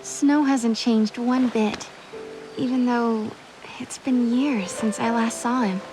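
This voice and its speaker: An actress playing an earnest, gentle young heroine in a video game cutscene. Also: female voice, a little high, fairly even intonation, slow pace, over music, low-quality recording. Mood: wistful.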